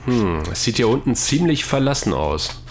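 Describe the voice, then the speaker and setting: Animated, practical adventure leader, acted by a man. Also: medium pitch, normal speed, close to an everyday voice. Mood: brisk. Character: brisk, practical man leading a risky exploration. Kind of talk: German hobby science-fiction audio drama acting. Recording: faint background noise.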